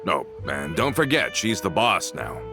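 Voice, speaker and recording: Loyal henchman, low voice, lively intonation, polite, earnest, deferential, video game. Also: unhurried, heavily acted. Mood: respectful. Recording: music clearly under the voice. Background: game music.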